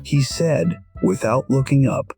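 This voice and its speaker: A man playing a gentle musician in his sixties, quietly and wistfully telling his own story as audio drama narration. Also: mid-range voice, measured pace, faint music behind, natural voice. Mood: reflective, tender.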